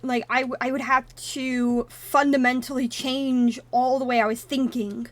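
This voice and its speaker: A woman's voice: sits high, little pitch movement, normal speed. A woman whose first language is Russian, casually commenting on a game on a live stream and thinking aloud as she wanders into tangents.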